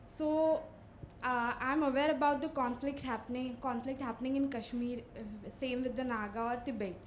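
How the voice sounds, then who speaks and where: A woman with a high-pitched voice asks a question from an audience, rambling and hesitant. The room is echoey, with faint noise behind her. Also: fairly even intonation, moderate pace. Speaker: audience member asking a question. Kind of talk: spontaneous question.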